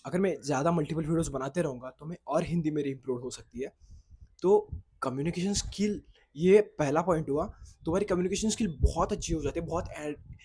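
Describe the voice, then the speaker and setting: A man's voice: on the high side, a little mellow, husky. A young man whose first language is Marathi, talking casually to camera in a vlog, rambling and correcting himself as he goes. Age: young adult. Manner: rambling, loses his thread, self-corrects. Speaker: content creator. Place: outdoors in the sun, at a boys' hostel.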